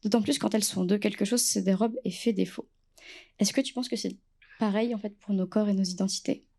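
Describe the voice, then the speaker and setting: Mellow-voiced woman asking a halting question. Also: medium pitch, steady tone. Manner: hesitant, with many fillers and restarts. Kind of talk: asking a long interview question.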